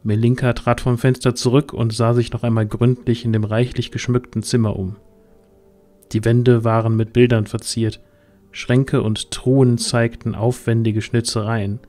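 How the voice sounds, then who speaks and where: A man's voice: mid-range voice, little pitch movement, normal speed, warm tone. A man reading scripted narration as the storyteller of a fantasy audio drama.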